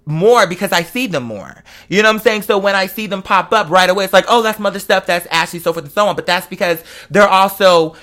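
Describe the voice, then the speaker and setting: A man's voice: high-pitched, a little fast, hardly any pauses, bright, forceful tone. A man chatting casually and confessionally to his online followers as host, rambling and digressive, with emphatic, slangy, often profane phrasing.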